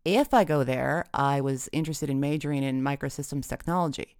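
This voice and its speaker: A woman's voice: extremely low pitch, darkish tone, clean tone, no room sound, clean studio sound. Woman voicing a hesitant, earnest teenage boy. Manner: hesitant, halting. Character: polite, nervous teenage boy, earnest student.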